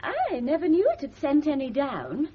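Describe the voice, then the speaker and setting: A chatty, genteel mother-in-law, middle-aged and quick to embarrassment. Her highish voice swoops widely with careful politeness, on a muffled old radio comedy recording. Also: wildly swooping pitch. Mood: embarrassed politeness. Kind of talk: 1970s radio comedy drama acting. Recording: muffled, slightly hissy 1970s radio recording.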